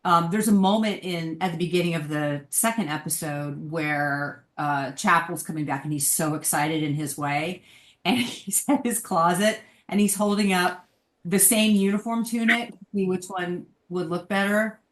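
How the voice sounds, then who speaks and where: A woman's voice: on the low side, normal speed. A woman chatting casually as a guest on a podcast panel, in roomy sound. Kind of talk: casual chat, discussion.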